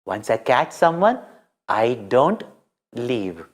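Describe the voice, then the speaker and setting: A storyteller voicing a calm, knowing divine baby boy who speaks slowly, quietly and firmly. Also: medium pitch, voice pushed down. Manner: slow, quiet, firm and deliberate.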